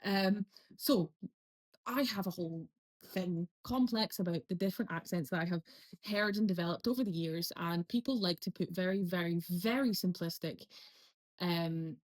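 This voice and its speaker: A woman's voice: fairly low pitch, moderate pace. A woman in her twenties with a posh Scottish accent, chatting casually and rambling through a vlog. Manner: rambling, chatty, lots of fillers. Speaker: vlogger. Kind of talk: casual talk to camera.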